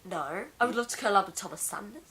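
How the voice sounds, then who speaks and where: A young Englishwoman with a deep voice, hoarse from being ill, rambling through a casual vlog chat at home on a camera mic. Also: expressive, fast, a bit bright. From England. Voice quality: deep for a woman. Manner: rambling, chatty, laughs often. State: hoarse from illness. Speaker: vlogger. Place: home room.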